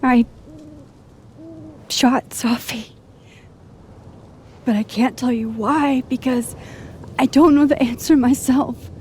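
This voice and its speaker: An anxious, earnest young woman in a naturalistic game drama. Her voice sits fairly high and she speaks haltingly, as if pleading to be believed. There is faint noise behind her. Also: steady tone, a little mellow. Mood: urgent, pleading. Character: earnest, anxious young woman with secret timeline-hopping power.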